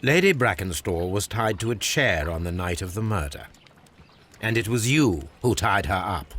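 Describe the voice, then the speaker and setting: An actor playing a cool, shrewd Victorian detective in a video game, speaking formally and incisively. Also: male voice, lowish voice, animated, moderate pace, a bit bright. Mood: assured. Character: cool, shrewd Victorian male detective, self-assured and probing. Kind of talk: video game cutscene acting.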